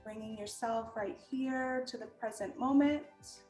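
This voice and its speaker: A woman leading a guided meditation in a calm, gentle manner, giving unhurried instructions with long pauses. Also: a little high. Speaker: meditation guide and art teacher. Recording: roomy echo with music underneath.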